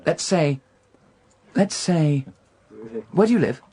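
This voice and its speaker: A reckless rich young gambler in a radio drama. His voice is very animated, jumping up and down as he presses his case. He is agitated and insistent, often repeating himself. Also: a little high, slow. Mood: feverish, insistent.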